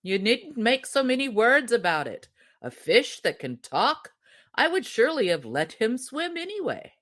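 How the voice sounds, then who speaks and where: A woman's voice: a little high, very animated, measured pace, fairly bright tone, voice pushed up, full character voice. A woman voicing a simple, easygoing fisherman husband in a storybook, plain-spoken and reluctant. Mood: dismissive.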